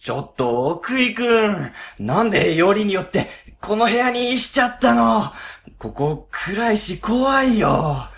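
A man's voice: high-pitched, slow, gravelly, heavily acted. A man acting a wildly swooping, cowardly office boss. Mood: nervous. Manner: stammering, excitable, exaggerated frightened delivery. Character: cowardly, dramatic middle-aged male section chief at a ward office. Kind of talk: comedic web radio drama acting.

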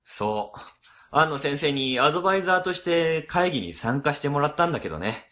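A man playing a mild, chatty office colleague in a radio drama, explaining things in soft phrasing. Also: a little high, sing-song, a little fast.

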